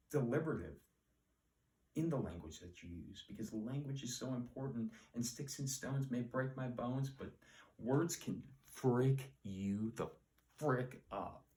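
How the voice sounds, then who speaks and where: A man teaching word origins in a relaxed, rambling, self-deprecating way. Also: mid-range voice, distant mic. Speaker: Latin etymology teacher. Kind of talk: casual solo etymology lesson. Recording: echoey room, voice off-mic.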